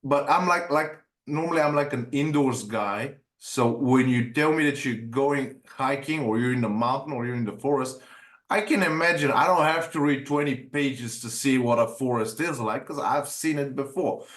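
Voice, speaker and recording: A man's voice: medium pitch, normal speed, fairly bright tone. Rambling Bulgarian-born man hosting, echoey room. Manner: rambling, stumbling restarts. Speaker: podcast host. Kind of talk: casual interview conversation.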